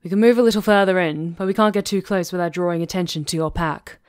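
Sly female witch conspirator for ASMR drama, acted by a woman: slightly low, deliberate, self-possessed. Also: smooth, no room sound, studio quality, natural voice. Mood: cautioning. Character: composed, sly female witch conspirator plotting against a countess. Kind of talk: ASMR roleplay acting.

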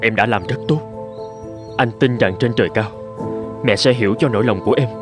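A man playing a gentle, supportive husband in a cartoon drama, speaking in a measured, consoling way. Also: mid-range voice, over music, natural voice.

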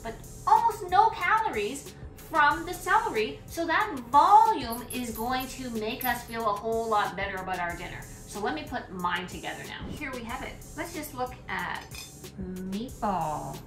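A middle-aged woman talks through a recipe in her home kitchen, chatty and thinking aloud as she cooks. Her voice sits slightly high. The room is echoey, and music plays clearly underneath. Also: lively intonation, unhurried. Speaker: cooking vlogger. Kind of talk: casual cooking demonstration.

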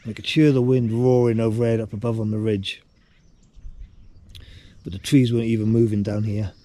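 A man's voice: on the low side, level tone, normal speed, warm tone, no echo. A middle-aged man chatting casually to his camera as a hiking vlogger, recorded outdoors. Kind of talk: casual video diary chat. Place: outdoors on a trail and at a campsite. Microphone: action camera at arm's length or close by.

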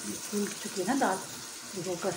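A woman's voice: medium pitch, moderate pace. A middle-aged woman giving a casual home cooking tutorial, explaining each step with chatty asides. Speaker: cooking vlogger. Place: home kitchen at the stove. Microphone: phone camera held over the pot. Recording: echoey kitchen with cooking noise. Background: frying and sizzling.

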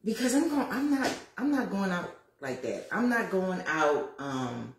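A woman's voice: mid-range voice, unhurried. Chatty woman in her fifties, roomy kitchen. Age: 50s. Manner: lively, preachy and chatty, addresses viewers as y'all. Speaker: lifestyle vlogger. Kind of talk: casual livestream chat while cooking. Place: home kitchen, indoors. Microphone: phone camera at arm's length.

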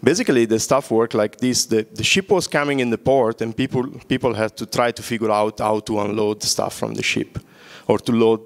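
A man's voice: on the low side, brisk. A man with an Italian accent presenting at a conference in a large hall, joking and quizzing the audience through a handheld microphone. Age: older. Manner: joking, engages audience with questions. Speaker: conference speaker. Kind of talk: conference talk. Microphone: handheld mic.

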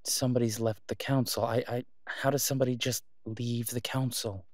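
A man's voice: mid-range voice, little pitch movement, moderate pace, no room sound, lightly acted. A man playing a caring, easygoing boyfriend, dry close sound. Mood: concerned, soothing. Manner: conversational, hesitant, reassuring. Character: caring, easygoing human boyfriend of a werewolf woman. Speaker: audio roleplay performer. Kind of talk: intimate one-sided boyfriend audio roleplay.

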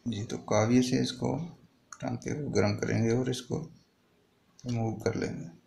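A man's voice: medium pitch, steady tone, a little slow, creaky. A man explaining a hands-on repair step by step in a plain, improvised way, like a tutorial host. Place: indoor repair workbench. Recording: noisy home-made recording with clicks.

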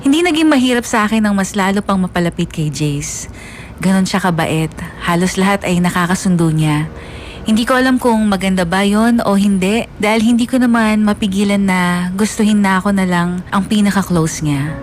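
A woman playing a lovestruck young woman who narrates her high school crush in a confiding, girlish way for a radio drama. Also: normal speed, a little hiss or hum, close to an everyday voice. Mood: smitten.

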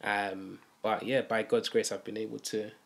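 A young man with a London accent speaks in a fairly low voice. He talks casually and conversationally, like a co-host chatting to viewers and a friend. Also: little pitch movement, moderate pace, vocal fry, a bit bright. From East London, UK. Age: young adult. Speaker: video co-host. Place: small furnished room, indoors.

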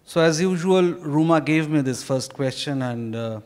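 Middle-aged male author, Indian accent, measured halting delivery, slightly high pitch, on a mic in a reverberant ballroom. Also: expressive, a little mellow. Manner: slow, deliberate, halting delivery. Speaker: author being interviewed. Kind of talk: reading prepared remarks in an on-stage interview. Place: hotel ballroom stage. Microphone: handheld microphone. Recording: echoey ballroom with long reverb.

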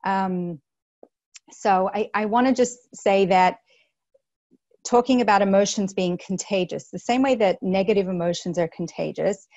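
A woman's voice: medium pitch, normal speed, a little mellow, dry recording, dull audio. A woman in her thirties with an Australian accent, giving an earnest webinar talk to teachers with many fillers and restarts, on an earphone mic over a video call. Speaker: school educator on a panel. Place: small room indoors.

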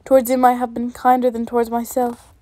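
Darcy, a woman reading a cold proud gentleman. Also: on the high side, monotone, a little fast, no room sound, natural voice. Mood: cold restraint. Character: proud wealthy young gentleman, cold and stiff.

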